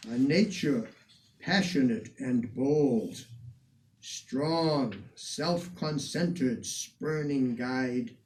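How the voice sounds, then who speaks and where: Slow, declamatory man reciting verse, distant mic. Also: fairly high pitch, dark tone. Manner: measured, declamatory, deliberate phrasing with emphatic swells. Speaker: reader of poetry, museum curator. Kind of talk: dramatic poetry recitation in the person of a 19th-century poet. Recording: distant microphone with some background noise.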